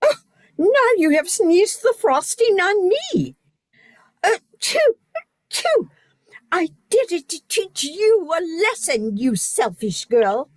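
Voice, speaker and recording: A woman's voice: very high voice, very animated, takes it slowly, no echo, exaggerated voice. A woman playing a stern, indignant noble lady and mother in a comic radio play, theatrical and exclamatory.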